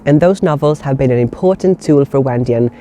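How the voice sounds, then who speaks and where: A man acting as host, narrating the video in a measured, scripted voice-over. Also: medium pitch, warm tone, faint music behind. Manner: measured, explanatory delivery.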